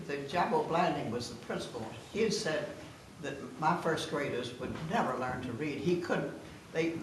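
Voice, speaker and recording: 98 yr old lady telling playful stories, deep for a woman, noisy echoey room. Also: far from the mic. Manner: giggly, playful. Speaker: guest of honor reminiscing. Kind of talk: casual storytelling among family.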